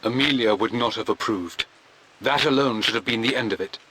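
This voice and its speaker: A man's voice: medium pitch, fairly quick, sharp tone, faint music behind, lightly acted, low-quality recording. An actor playing a stubborn, devoted aristocratic explorer with a British accent, narrating his diary formally and theatrically in a video game. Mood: bitter grief.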